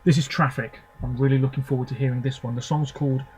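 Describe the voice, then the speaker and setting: A man's voice: mid-range voice, fairly even intonation, fast talker, darkish tone, husky, poor audio. A young man hosting his own video, giving short, hesitant first reactions to songs between clips. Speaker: video host reviewing contest songs. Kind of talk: casual off-the-cuff commentary.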